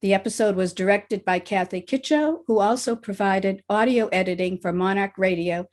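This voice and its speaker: A woman's voice: medium pitch, normal speed, darkish tone. A woman working as an announcer, reading scripted closing credits for a radio play. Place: remote video-call recording.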